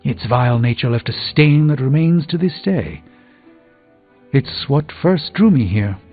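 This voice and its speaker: An actor voicing an urbane, proud middle-aged sorcerer in a video game, speaking with eloquent, theatrical dry wit. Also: male voice, medium pitch, lively intonation, unhurried. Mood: grave, reflective. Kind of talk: scripted video game dialogue. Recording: thin phone-like band, loud music behind.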